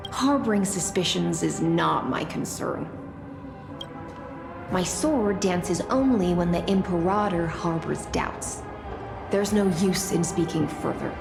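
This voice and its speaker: Echoey game voice, aloof lady knight from the deep sea, takes her time, formal n dry. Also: gravelly. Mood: composed, commanding. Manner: measured, formal, coolly wry. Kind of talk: video game voice acting. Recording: echoey voice over background music.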